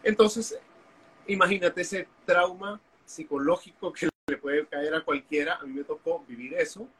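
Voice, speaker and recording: A man's voice: fairly high pitch, steady tone, normal speed, fairly bright tone, slightly rough, low-quality recording. Candid man telling his story. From Honduras. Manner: candid, storytelling, frequent fillers. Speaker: guest, activist. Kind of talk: interview conversation. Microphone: video call.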